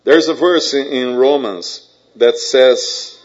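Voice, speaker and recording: Slow Brazilian-accented male preacher. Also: mid-range voice. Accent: Brazilian Portuguese. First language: Portuguese. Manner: halting, repeats words, joking asides. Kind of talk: sermon. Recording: dull, muffled sound.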